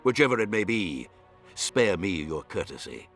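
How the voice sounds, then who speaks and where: A man's voice: deep voice, big pitch swings, moderate pace, a bit bright, soft background music, exaggerated voice. A man playing a haughty, scornful nobleman in a fantasy video game, with imperious, formal diction. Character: haughty scornful nobleman, baron vying for a throne. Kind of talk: dramatic fantasy game acting.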